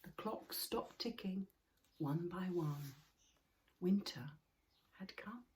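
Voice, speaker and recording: Expressive woman reading a children's story. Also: lowish voice. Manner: expressive, deliberate, with hushed passages. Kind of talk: scripted children's story narration.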